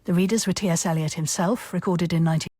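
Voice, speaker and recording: A woman's voice: on the low side, steady tone, fast, mellow, no room sound. A woman reading scripted narration as an announcer, composed and informative. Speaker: radio announcer.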